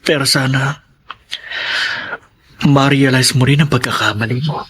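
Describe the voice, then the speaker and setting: Forceful, pleading unfaithful husband. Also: male voice, mid-range voice, steady tone, a little slow, breathy edge. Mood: pleading, remorseful. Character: unfaithful husband, remorseful, pleading, coaxing his wife. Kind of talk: Tagalog radio drama acting.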